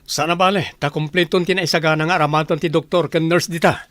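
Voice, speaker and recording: A man's voice: fairly high pitch, fairly even intonation, quick pace, fairly bright tone, no echo, natural voice. An actor playing a commanding, well-off man giving curt orders in a radio drama. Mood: businesslike, urgent. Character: commanding well-off male boss and expectant father. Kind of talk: Filipino radio drama acting.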